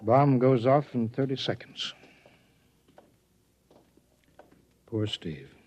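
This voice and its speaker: A man's voice: mid-range voice, expressive, a little slow, a little mellow. An actor playing a weary, terse man in command of a test project in a 1950s radio drama. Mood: grim, resigned. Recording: 1950s radio broadcast recording.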